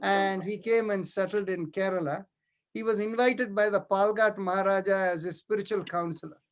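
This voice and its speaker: A man's voice: high voice, fairly even intonation, moderate pace. A man, hosting a yoga class over a video call and talking casually, with many fillers and restarts. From India. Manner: hesitant, full of fillers and restarts. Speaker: yoga teacher and host.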